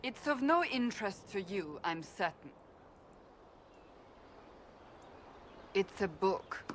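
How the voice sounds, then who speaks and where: An actress playing a proud, gruff warrior woman in a fantasy video game, clipped, defensive and emphatic. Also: medium pitch, very animated, normal speed, close to an everyday voice. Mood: flustered, defensive. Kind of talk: cinematic game dialogue acting. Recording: faint background noise.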